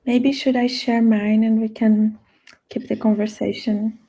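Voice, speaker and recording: A woman artist introduces her work to a panel in a casual, halting way, with plenty of fillers. Her voice is mellow and gravelly. Her pitch stays flat and level throughout. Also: rough recording. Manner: hesitant, with many fillers.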